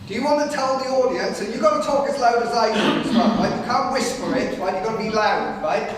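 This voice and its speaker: A man with a naturally loud voice gives a chatty local history talk, often checking in with "right?". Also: very high-pitched, fairly quick. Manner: chatty, addresses the audience directly with frequent "right?". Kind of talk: public history talk to a live audience. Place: large hall with pillars, live audience. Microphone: no microphone, far room pickup. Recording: echoey hall from far off.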